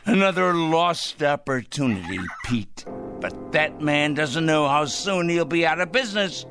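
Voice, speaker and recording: Gleeful, theatrical paranoid crank, wildly swooping. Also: male voice, fairly high pitch, lively intonation, measured pace, fairly bright tone, soft background music. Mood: scornful, fretting. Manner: theatrical, gloating, rambling to himself and his pet. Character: gleeful paranoid male crank plotting a moral purge. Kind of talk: radio drama acting.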